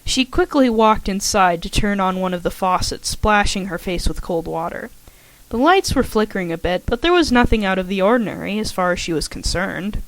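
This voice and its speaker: A woman's voice: mid-range voice, lively intonation, moderate pace, no room sound. Woman reading a story, dry close sound. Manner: slightly shaky, careful reading. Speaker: fanfiction audiobook reader.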